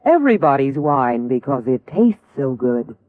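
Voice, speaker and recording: Male vintage radio ad: animated, slightly high, narrow tinny recording. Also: moderate pace, no echo. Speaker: commercial voice. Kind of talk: scripted 1950s radio advertisement.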